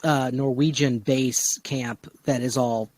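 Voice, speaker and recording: Man, mid-pitched voice, halting delivery, casual story brainstorming, podcast talk, dry sound. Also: steady tone, darkish tone, no echo.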